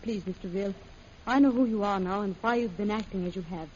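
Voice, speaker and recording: Actress as earnest, plucky young heroine, muffled recording. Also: female voice, medium pitch, brisk, a little mellow, natural voice. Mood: earnest, firm. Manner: sincere, firm, proper. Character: earnest, principled young woman, plucky and kind. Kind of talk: 1930s radio serial acting. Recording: dull, noisy old radio recording.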